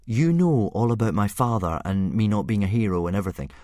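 A man's voice: animated, fast, mellow, dry recording, clean studio sound, lightly acted. A man doing an anxious, eager young boy. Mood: indignant. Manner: high, eager and indignant.

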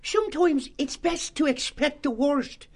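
A woman's voice: sits high, unhurried, darkish tone. A woman voicing a philosophical, pessimistic young hedgehog in a storybook, musing matter-of-factly. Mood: resigned.

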